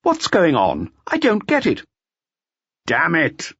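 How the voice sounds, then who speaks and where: A man's voice: on the high side, wildly swooping pitch, takes it slowly, fairly bright tone, breathy edge, dry recording, muffled recording, voice pushed up, exaggerated voice. A man voicing a puzzled, irritable hotel employee on the telephone in an audiobook. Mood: cross.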